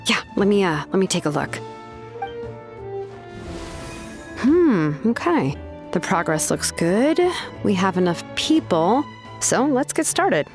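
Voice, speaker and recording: A practical, laid-back young woman from a video game. Her mid-range voice moves freely in pitch, while the delivery stays casual and matter-of-fact, with small hesitations. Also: very animated, measured pace, soft background music. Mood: casual, focused. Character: laid-back young adult female craftswoman, practical and unhurried.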